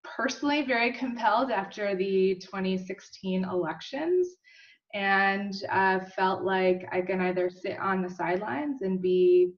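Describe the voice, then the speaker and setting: A woman's voice: medium pitch, a little slow. Woman panelist on a video call, upbeat and chatty, lotsa ums, sounds far off and muffled. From Costa Rica and Mexico, then Colorado. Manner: upbeat, chatty, frequent fillers. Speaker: panelist, state representative. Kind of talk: panel self-introduction.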